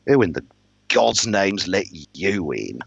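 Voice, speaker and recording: A man's voice: sits low, sing-song, slow pace, gravelly, breathy edge, no echo, lo-fi sound, pitched above the performer's own voice, heavily acted. A man voicing a haughty, sneering lord with a mocking, affected delivery, in an audiobook-style fantasy reading.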